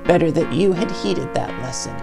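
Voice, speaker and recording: A woman's voice: low voice, expressive, moderate pace, gravelly, music in the background. A woman voicing a stern, grave fantasy guild elder in solemn, formal speech, as in game dialogue. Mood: grave, pronouncing. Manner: solemn, formal, archaic diction.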